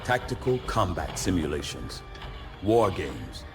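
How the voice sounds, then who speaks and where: An actor playing a formal, wordy research scientist who speaks in measured, scholarly phrases in a video game cutscene. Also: male voice, low voice, big pitch swings, creaky, heavily acted. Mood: intrigued, explanatory. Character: cerebral male research scientist, formal and verbose. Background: music.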